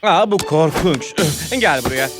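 A man voicing an affected, self-satisfied stylist in a children's cartoon dub, languid and drawn-out. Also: on the high side, animated, loud music behind the voice. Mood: appalled. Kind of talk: animated children's series dub.

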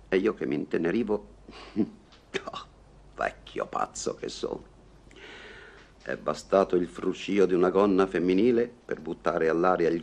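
An actor playing a gentle, self-mocking old recluse wounded in love, husky and halting, in an Italian radio play. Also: male voice, low voice. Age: older. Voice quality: gravelly, husky. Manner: halting, rueful, bitterly self-deprecating.

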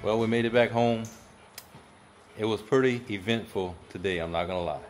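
A man's voice: on the low side, normal speed, faint music behind. Laid-back man vlogging outside. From Louisiana. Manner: relaxed, plainspoken storytelling. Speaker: outdoors vlogger. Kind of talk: casual outdoor vlog. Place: outdoors, lakeside dock and open shed. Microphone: handheld camera at arm's length.

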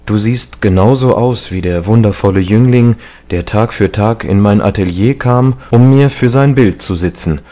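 Guy reading as sad gentle painter in an audiobook, low slow voice, strained, reproachful. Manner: hushed, strained, earnest. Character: grieving, reproachful male painter, earnest and gentle.

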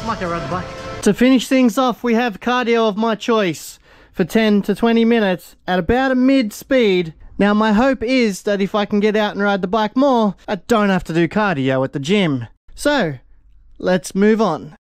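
A man's voice: high voice, moderate pace. A man, casually narrating his gym workout in a self-deprecating, upbeat way on an action camera. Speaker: vlogger. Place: gym, indoors, and outdoors by a car. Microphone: handheld action camera. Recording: music playing behind. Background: background music.

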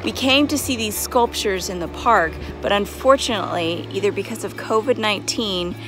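A woman's voice: mid-range voice, normal speed, over music. Woman vlogging outdoors on a phone. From Texas. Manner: chatty, playful mock news-reporter asides. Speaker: family travel vlogger. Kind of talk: casual travel vlog to camera. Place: outdoors in windy desert and lookouts. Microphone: phone held at arm's length.

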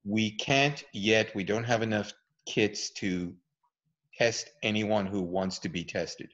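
A man's voice: lowish voice, slow. A man presenting an online talk and answering questions, explaining at an easy pace with lots of ums and uhs. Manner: relaxed, explanatory, with many fillers. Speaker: presenter, physician. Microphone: video call. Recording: dull lo-fi video call audio.

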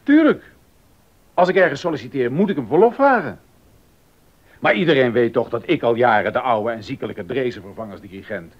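An actor playing a stern, exacting baroque composer and organist in a docudrama, emphatic and easily indignant. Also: male voice, animated, a little fast, close to an everyday voice. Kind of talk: docudrama radio acting. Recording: dull audio with rumbling noise.

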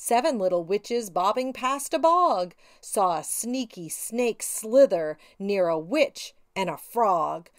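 A middle-aged woman hosting children's storytime, animated and sing-song, speaking directly to young listeners. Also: medium pitch, takes it slowly, few pauses, reverberant. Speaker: children's read-aloud show host. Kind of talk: children's storytime presenting and picture-book reading.

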